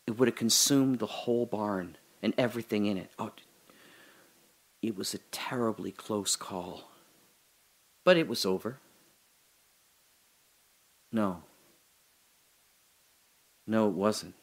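An actor playing a guilt-ridden trapped man in an audio drama monologue, halting and intense, confessing and pleading. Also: mid-range voice. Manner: halting, intense, swinging from hushed confession to anguished cries.

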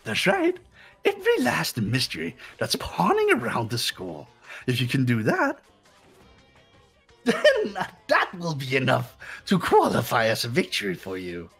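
A gleefully sadistic bear mascot villain from a game. The voice is very husky and creaky, drawling slowly with theatrical, taunting relish. Faint music plays underneath. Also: medium pitch, wildly swooping pitch, a bit bright, full character voice. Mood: menacing playful. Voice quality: raspy, gravelly. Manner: theatrical, taunting, giggling, pun-happy. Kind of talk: character voice acting in a game fan dub.

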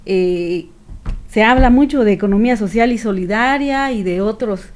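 A middle-aged woman with a Guatemalan accent giving earnest, reflective interview answers in a measured way, with pauses. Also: mid-range voice, darkish tone. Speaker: activist guest. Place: small radio studio with several people. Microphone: studio microphone on stand.

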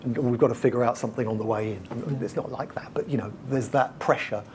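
A man moderating a conference panel, who talks in a lively way with quick asides and acted-out quotes. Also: medium pitch, a little hiss or hum. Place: conference stage, indoors.

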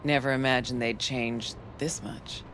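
A woman playing a tough, nostalgic veteran fixer in a video game, talking in an intimate, wry, measured way. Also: a bass voice, steady tone, a bit bright, a little hiss or hum, rough recording. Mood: wry, teasing.

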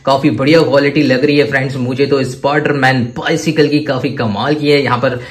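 A man with an Indian accent presenting products to viewers in an excitable, gushing way, piling up superlatives. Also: mid-range voice, a little fast. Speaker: toy reviewer and presenter. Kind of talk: enthusiastic product showcase to viewers.

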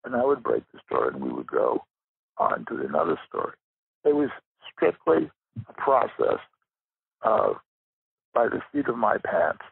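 A man with a raspy, gravelly voice giving reflective interview answers as a guest writer, pausing for emphasis. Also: sits low, wildly swooping pitch, dry recording. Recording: muffled narrow phone-like line.

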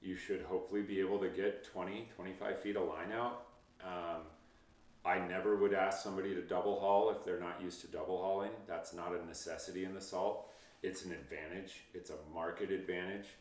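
Man, 30s, fishing guide, low voice, casual and reassuring, recorded at a distance in an echoey home office. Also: little pitch movement, a little fast. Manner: easygoing, reassuring, frequent ums. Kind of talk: casual interview answers. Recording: roomy, distant mic.

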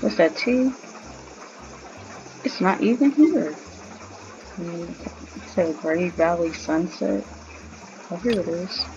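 Low-voiced woman gamer, dull recording. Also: slow, pauses often, noisy background. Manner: talking to self while playing. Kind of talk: casual gameplay chat. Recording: dull sound, game music behind. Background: game music and sounds.

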